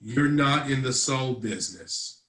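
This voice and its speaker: A man's voice: mid-range voice, lively intonation, a little slow, a bit bright. A man playing a jaded, dismissive district attorney in a drama table read, recorded in an echoing room. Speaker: actor in a web series table read. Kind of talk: scripted drama read aloud.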